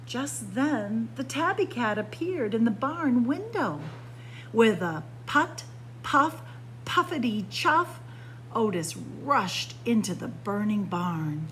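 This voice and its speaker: A woman's voice: a little high, very animated, slow, a bit bright, a little hiss or hum, low-quality recording. A woman reading a children's picture book aloud like a story-time librarian, expressively, with dramatic emphasis on key words.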